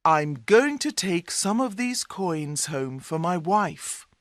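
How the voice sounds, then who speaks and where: Woman as very slow, earnest fairy-tale hero. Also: on the low side, sing-song, dry recording, clean studio sound. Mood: cautious. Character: Ali Baba, cautious kindly man, fairy-tale hero. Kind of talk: storybook character voice.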